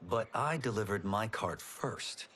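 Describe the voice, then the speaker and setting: An actor playing an earnest, modest young martial artist in a video game, mild and lightly wry. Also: male voice, on the low side, expressive, measured pace, fairly bright tone, slight background noise. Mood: friendly rivalry. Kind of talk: video game cutscene acting.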